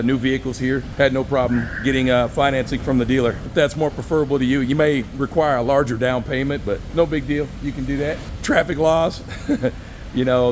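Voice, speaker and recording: A man from the United States, a bit hoarse from losing his voice, chatting casually in a travel vlog as he drives and shops. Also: mid-range voice. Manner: laid-back, slangy, chatty. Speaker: travel vlogger. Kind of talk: casual vlog talk while driving and shopping. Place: in a car and a mall outdoors, city traffic. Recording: street and traffic noise behind.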